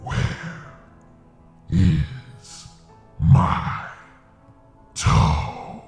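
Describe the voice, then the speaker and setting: A man voicing an unseen creature that groans in a slow, drawn-out, breathy whisper as it hunts for its toe. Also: reverberant, faint music behind. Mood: menacing groan.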